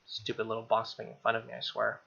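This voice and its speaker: Gravelly young man, bright, on a lo-fi mic. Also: lowish voice, completely flat delivery, fast. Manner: irreverent, slangy. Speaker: let's play host. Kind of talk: reading game text aloud with casual joking commentary. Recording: dull lo-fi mic, music behind. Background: game music with guitar.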